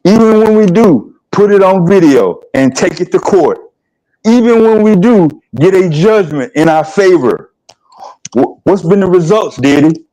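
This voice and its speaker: Brief: male host in his fifties from the American South, a touch high-pitched, halting and plainspoken. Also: animated, dark tone, poor audio. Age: 50s. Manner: plainspoken, halting, asks rhetorical questions. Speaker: livestream host. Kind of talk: casual discussion.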